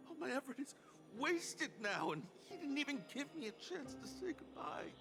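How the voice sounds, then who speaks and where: An actor playing a flustered, exasperated grown son in a video game, agitated in manner. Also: male voice, very high-pitched, very animated, normal speed, creaky, over music, heavily acted. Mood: bitter, grieving. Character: flustered, grieving adult son, a busy city worker.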